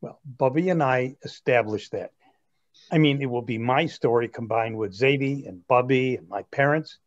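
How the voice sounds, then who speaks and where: A man's voice: medium pitch, moderate pace, dull audio, natural voice. A young man playing an earnest, self-absorbed twenty-something grandson in a reader's theatre comedy. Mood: puzzled, curious.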